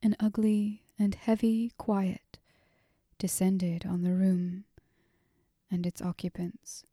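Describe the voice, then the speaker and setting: A woman narrates an audiobook in a soft, breathy whisper. Her pace is very slow and her tone mellow. The recording is close and dry, with no room sound. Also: medium pitch, steady tone, no room sound.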